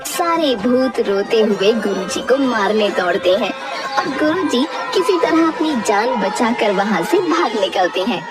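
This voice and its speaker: A woman's voice: a little high, lively intonation, measured pace. A woman narrating a scripted cartoon story as a storyteller. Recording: distant voice with music underneath.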